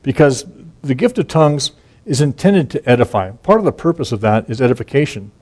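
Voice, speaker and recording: A man's voice: mid-range voice, animated, brisk, darkish tone. A man teaching from scripture in an informal, conversational way, reading verses aloud and explaining them with frequent restarts and asides. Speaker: Bible teacher.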